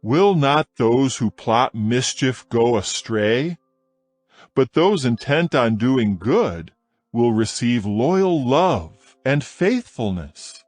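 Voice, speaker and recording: A man reading scripture in a slow, measured, expressive way. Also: medium pitch, dry recording, soft background music. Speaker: scripture reader.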